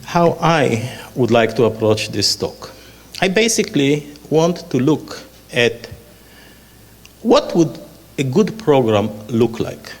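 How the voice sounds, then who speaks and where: An older man from Israel with a clear Israeli accent lectures from slides in a measured way, dropping dry asides. His voice sits at an ordinary middle pitch. The sound rings with the long reverb of a lecture hall. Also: very animated. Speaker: panel speaker.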